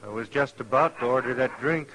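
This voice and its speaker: A man's voice: medium pitch, fairly quick, pushed and bright, slight background noise, natural voice, rough recording. An actor playing a grieving, stubborn cowboy in a radio western, brooding and folksy in his talk. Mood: resolute, sad. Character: grieving stubborn cowboy muleteer, man bent on vengeance. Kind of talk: old-time radio western acting.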